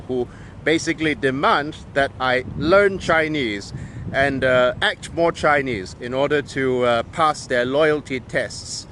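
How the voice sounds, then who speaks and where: A man's voice: mid-range voice, bright, forceful tone, slight background noise. A man with a Malaysian Peranakan accent, giving an emphatic, wry monologue to camera with frequent restarts. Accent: Malaysian Peranakan English. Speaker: video essayist.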